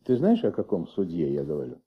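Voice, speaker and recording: An actor in a Soviet radio play playing a patient, shrewd middle-aged police commissaire with flu, questioning gently in a husky, creaky voice. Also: male voice, deep voice, wildly swooping pitch, fairly quick, dull audio, full character voice. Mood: gently probing. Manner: gentle, coaxing, paternal questioning.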